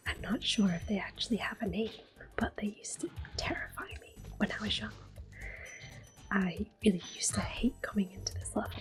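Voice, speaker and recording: A woman's voice: medium pitch, poor audio. Whispering woman doing ASMR game commentary. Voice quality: breathy, whispery, soft. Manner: gentle, unhurried. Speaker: gameplay host. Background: game music.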